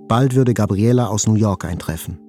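Audio drama: actor playing male first-person narrator, slightly low mellow voice, composed literary delivery. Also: moderate pace, natural voice. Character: composed male patient recounting his past. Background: faint music.

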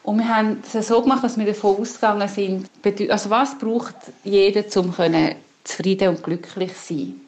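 A woman's voice: medium pitch, fairly even intonation, brisk, a little mellow. A woman with a Swiss German accent giving a casual personal account as a parent. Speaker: parent sharing experience.

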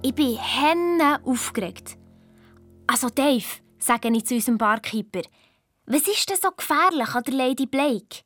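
An eager young girl sleuth recounts her adventure in a children's audio drama. The voice is high and animated, with a Swiss German accent. Soft music plays faintly underneath. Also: unhurried, exaggerated voice. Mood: excited. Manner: animated storytelling, quoting others in dialogue. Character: Fabi, eager excitable girl detective telling her adventure. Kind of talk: children's detective radio play narration.

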